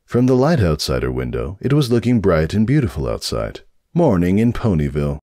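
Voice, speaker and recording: A man narrates a comic story with a wry, theatrical delivery. His pitch is on the low side and moves very widely, with music underneath. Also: wildly swooping pitch, a little fast, dark tone, no echo, studio quality. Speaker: narrator, fanfiction reader. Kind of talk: dramatic scripted comic narration.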